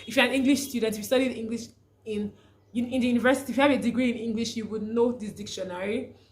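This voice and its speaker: A woman's voice: a little high, steady tone, fast talker. A young Nigerian woman with a Nigerian accent, talking casually to camera in a vlog, often restarting her sentences. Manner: chatty, restarts often, laughs through stories. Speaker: vlogger, freelancer.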